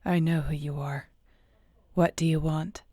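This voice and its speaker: A woman playing a surly, protective werewolf alpha in an audio roleplay, with a gruff, blunt, dry manner. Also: deep voice, fairly even intonation, unhurried, warm tone, no echo. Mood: guarded, hostile. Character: surly young female werewolf alpha, guarded, fiercely protective.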